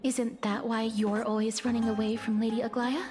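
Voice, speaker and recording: A woman playing an earnest, gentle, solemn young-sounding female demigod in an anime-style video game, speaking formally and a little hesitantly. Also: on the high side, flat delivery, moderate pace, faint music behind, natural voice. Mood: solemn, guarded.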